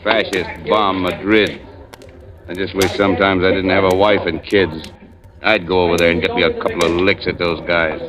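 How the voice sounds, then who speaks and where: A man's voice: sits low, level tone, normal speed, over music, natural voice. An actor playing an earnest, plainspoken working family man with strong opinions in an old-time radio drama. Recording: vintage radio scene with crowd behind.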